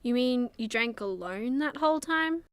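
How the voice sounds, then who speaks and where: A woman playing a sharp-tongued, wry superheroine in a comedy radio play, blunt and sarcastic. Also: on the high side, expressive, normal speed, clean tone, no room sound, studio quality, lightly acted. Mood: surprised, softening. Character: sharp-tongued, wry young superheroine, a frank drinking buddy.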